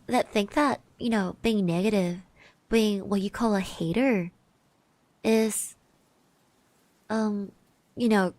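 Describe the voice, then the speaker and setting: A woman speaking softly in an ASMR roleplay, gently reassuring the listener, musing with pauses and little hums, close to the microphone. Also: medium pitch, no room sound. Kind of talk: soft-spoken ASMR roleplay, personal encouragement. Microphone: close microphone, dry.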